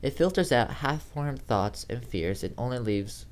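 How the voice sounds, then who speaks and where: Amateur horror narrator, medium-pitched voice, dramatic first-person storytelling. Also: animated, unhurried, slight background noise.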